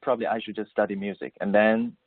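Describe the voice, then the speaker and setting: A man from Beijing whose first language is Mandarin, speaking English with a Mandarin Chinese accent as an interview guest. He answers haltingly and repeats words as he searches for phrasing. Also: on the low side, little pitch movement, no echo. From Beijing, China. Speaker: guest composer and musician. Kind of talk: interview answers over the phone. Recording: tinny dry phone line.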